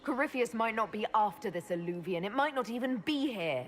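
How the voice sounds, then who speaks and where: An actress playing a resolute military leader in a fantasy game, firm and plainspoken. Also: female voice, fairly high pitch, animated, fairly quick, faint music behind, lightly acted. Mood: challenging. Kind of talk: dramatic fantasy game acting.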